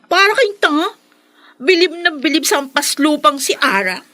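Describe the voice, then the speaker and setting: A woman's voice: sits high, expressive, moderate pace, bright, forceful tone. A Filipino actress playing a spoiled, spiteful teenage schoolgirl in a melodramatic, haughty radio drama style. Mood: haughty, scornful.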